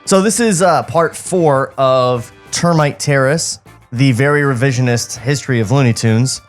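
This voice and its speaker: A man's voice: mid-range voice, moderate pace. Male comedy podcast host, sardonic and conversational, for script read-alouds over light background music. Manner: mocking, sardonic. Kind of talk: casual comic banter and script table read. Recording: music playing behind the voice.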